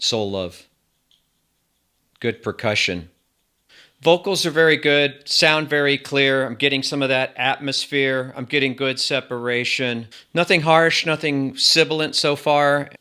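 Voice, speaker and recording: Guy doing a laid-back gear review, bright punchy voice, kinda rambly, some music around. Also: mid-range voice, clear, resonant tone. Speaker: host of an audio gear review channel. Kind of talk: casual product review to camera.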